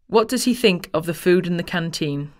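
A woman reading exam questions and instructions in a formal, measured way. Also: lowish voice, expressive, darkish tone.

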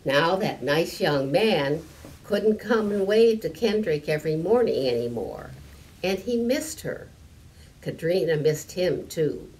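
An elderly woman reads a picture book aloud to children in a deliberate, slightly halting manner, adding small explanations. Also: lowish voice, lively intonation, a little mellow. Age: 70s or older. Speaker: story time reader. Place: library room with stone fireplace, indoors. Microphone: camera a few meters away. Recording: distant mic, room noise.

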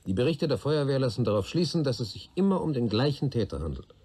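An actor playing a sober, sceptical police inspector in a radio play, speaking in a matter-of-fact, official way. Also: male voice, medium pitch, animated, fast, warm tone, slightly rough.

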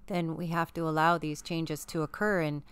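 Low-voiced woman, very smooth. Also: flat delivery, moderate pace. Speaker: co-host. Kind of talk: casual spiritual commentary.

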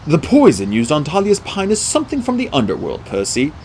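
Man voicing a measured, dignified middle-aged centaur mentor. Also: a little high, huge pitch swings, a little mellow, a little hiss or hum. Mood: grave. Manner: measured, formal, deliberate. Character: wise, kindly middle-aged male centaur teacher, grave and dignified. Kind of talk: audiobook character dialogue.

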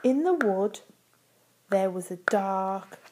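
A woman voicing an excitable, silly dog puppet who reads a storybook to a child in a playful, exaggerated way. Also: medium pitch, background noise. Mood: spooky storytelling. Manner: playful, exaggerated, slow dramatic reading to a child. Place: small room at home.

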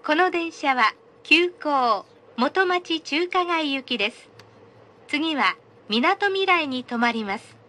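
A woman's voice: sits high, expressive, slow pace, bright, forceful tone. A woman reading a scripted train announcement in a polite, formal, carefully enunciated manner.

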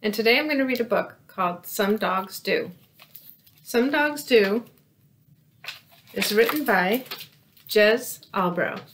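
A woman in her forties reading a children's storybook aloud in a careful, expressive storytelling manner. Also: mid-range voice, slow pace, pauses often, background noise. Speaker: storybook reader. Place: small room indoors by windows.

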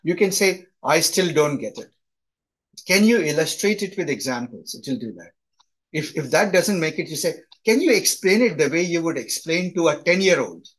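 Husky-voiced Indian man teaching online. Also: fairly high pitch, expressive, moderate pace, a bit bright, rough recording. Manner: conversational, often tags "right?". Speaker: instructor. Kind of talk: live online lecture. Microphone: video call.

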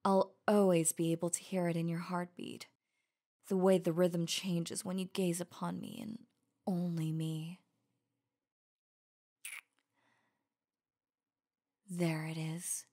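Slow, sultry werewolf huntress acted by a woman. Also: on the low side, flat delivery, dark tone. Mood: tender, devoted. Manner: slow, breathy, intimate, often dropping to whispers. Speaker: audio roleplay voice actor. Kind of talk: ASMR roleplay.

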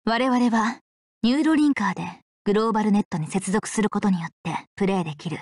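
A woman playing a composed, commanding young female leader in an anime visual novel game, with measured, formal, authoritative speech. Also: medium pitch, darkish tone, dry recording, close to an everyday voice. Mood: solemn, measured. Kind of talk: Japanese visual novel acting and narration. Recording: background music under the voice.

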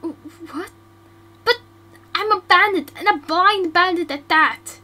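A woman's voice: high voice, unhurried, pushed and bright. Woman voicing panicky young bandit girl. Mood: panicked. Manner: panicky, pleading, stammering. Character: frightened young female bandit, suddenly blind.

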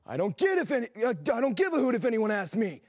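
A man's voice: very high voice, sing-song, fast talker, no room sound, clean studio sound. A man playing a cranky, boastful old husband who grumbles indignantly in broad comic style.

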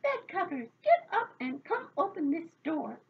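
A woman voicing bossy little fairies who call out loudly in sing-song chants. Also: very high voice, sing-song, measured pace, narrow, tinny audio, a little hiss or hum, voice pushed up, heavily acted. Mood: demanding.

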